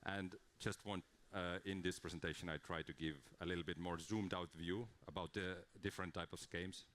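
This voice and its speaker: Brief: man, conference speaker, very low voice, earnest and explanatory. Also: a bit bright. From Estonia. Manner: earnest, explanatory, with frequent fillers and restarts. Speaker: conference speaker, game creative director. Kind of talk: conference talk.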